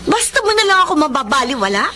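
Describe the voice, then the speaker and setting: A woman's voice: high voice, very animated, quick pace, sharp tone, slight background noise, full character voice. A woman playing a scheming, vengeful young mistress in a radio drama, mocking and threatening.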